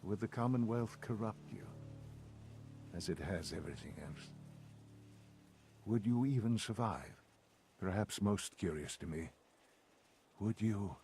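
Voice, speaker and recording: Deep-voiced, measured elderly leader. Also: male voice, darkish tone, a little hiss or hum. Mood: curious, measured. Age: older. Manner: deliberate, formal, reflective, with weighty pauses. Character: elderly, measured, coldly cerebral male leader of secretive institute. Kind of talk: dramatic video game dialogue.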